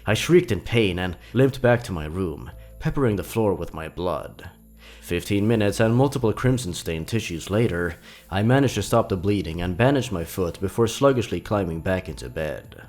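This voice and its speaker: Low-voiced man narrating horror, dramatically animated. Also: normal speed, soft background music. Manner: suspenseful, theatrical delivery.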